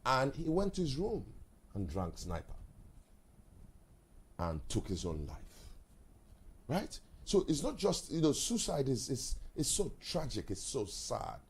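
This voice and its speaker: A Nigerian man hosting a live talk show, reflective, with halting restarts and repeated words. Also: mid-range voice, sing-song, slightly rough.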